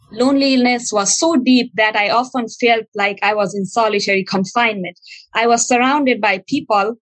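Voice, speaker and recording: Earnest woman, fairly high voice. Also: fairly bright tone, no echo, poor audio. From Nepal. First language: Nepali. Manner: earnest and reflective, with frequent pauses and fillers. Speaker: speaker sharing a personal testimony. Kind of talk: personal storytelling talk.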